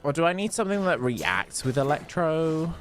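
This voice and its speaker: A man's voice: fairly high pitch, very animated, normal speed, faint music behind. A man streaming a game, chatting casually and rambling while answering chat questions. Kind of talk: casual gameplay commentary.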